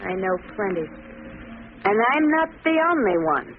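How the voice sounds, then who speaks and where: A woman's voice: mid-range voice, very animated, normal speed, lightly acted. A tinny 1930s radio recording with faint noise carries a feisty young woman in peril played by an actress. She is melodramatic and defiant, refusing to back down. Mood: scared but defiant. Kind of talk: 1930s radio drama acting. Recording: tinny narrow-band 1938 radio recording.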